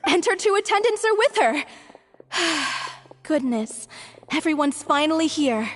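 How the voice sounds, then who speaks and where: Game role: eager townswoman, extremely high voice, excitable, in a reverberant hall. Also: unhurried. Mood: excited. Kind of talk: scripted video game voice acting.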